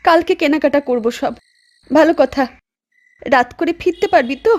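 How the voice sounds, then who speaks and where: A woman's voice: sits high, moderate pace, darkish tone, close to an everyday voice. A woman playing an anxious widowed mother in a horror cartoon, speaking in a plaintive, worried way. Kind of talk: Bengali horror cartoon acting.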